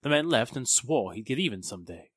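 An actor playing an excitable, evasive businessman suspect in a 1940s-style radio drama, with an emphatic, theatrical delivery. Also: mid-range voice, fairly quick, breathy edge, dry recording, clean studio sound. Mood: dawning realization. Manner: theatrical, emphatic, faltering when challenged.

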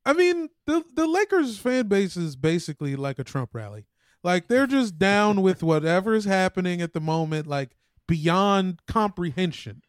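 A man's voice: sits high, very animated, normal speed, no room sound, clean studio sound. An American man co-hosting a podcast with casual, chatty sports banter. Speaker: podcast co-host.

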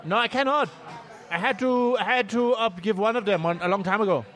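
A man's voice: high-pitched, brisk, a bit bright, pitched above the performer's own voice. A man voicing a cheerful Danish cyclist with Irish roots, in a put-on Danish accent with an Irish twang, gushing and comic. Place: bar near Madrid.

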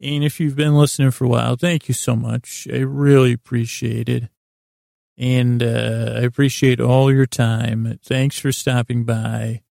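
A man hosting a sleep podcast, rambling in a meandering, hesitant, deliberate way with a self-deprecating streak. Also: medium pitch, little pitch movement, gravelly, a little mellow, no echo, clean studio sound. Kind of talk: rambling bedtime monologue.

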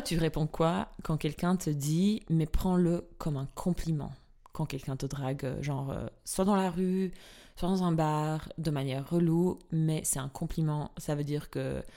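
Podcast co-host, woman, non-native French speaker, low voice, earnest and quick to laugh. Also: warm tone. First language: not French. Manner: earnest, laughs easily, rephrases as she talks. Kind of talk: casual podcast chat. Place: studio in a Swiss city.

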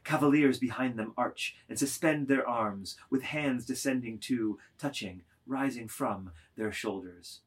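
A man narrating a literary work dramatically, deliberate and declamatory, phrase by phrase. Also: mid-range voice, slightly rough.

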